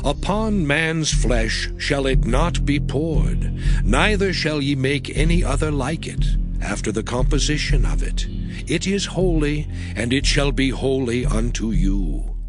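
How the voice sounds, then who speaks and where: A man playing God giving laws, solemn, stern and measured, in a declamatory dramatized scripture reading. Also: medium pitch, animated, lightly acted. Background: music.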